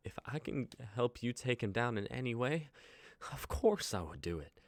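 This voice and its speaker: A man's voice: medium pitch, sing-song, normal speed, dry recording. Man playing an earnest, nervous young guy, dry sound. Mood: pleading, impassioned. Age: young adult. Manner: hesitant, stammering. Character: earnest, nervous, idealistic young male getaway driver. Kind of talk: online dramatic script reading.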